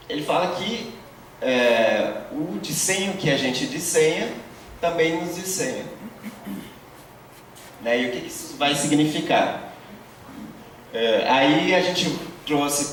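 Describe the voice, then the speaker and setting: A man teaching a university course, explaining ideas with many fillers and tag questions. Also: medium pitch. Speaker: course instructor. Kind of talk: university lecture. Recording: very echoey, distant, faint room noise.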